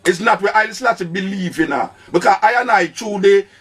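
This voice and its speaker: A man's voice: high voice, quick pace, slightly rough. A man with a Jamaican accent hosting an unscripted radio call-in debate in Patois, insistently repeating his questions and phrases.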